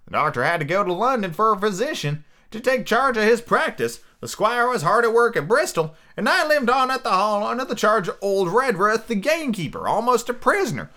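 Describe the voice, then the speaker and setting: A man's voice: sits high, very animated, brisk, few pauses, sharp tone, voice pushed up, full character voice. A man voicing a boy adventurer telling a seafaring storybook tale, in a theatrical, lilting manner with a put-on accent. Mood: eager, theatrical.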